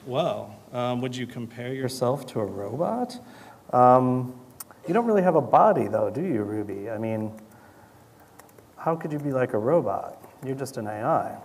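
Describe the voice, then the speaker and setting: A man's voice: mid-range voice, animated, moderate pace, a little mellow. A man presenting a live chatbot demonstration to an audience, talking conversationally and hesitantly as he thinks aloud.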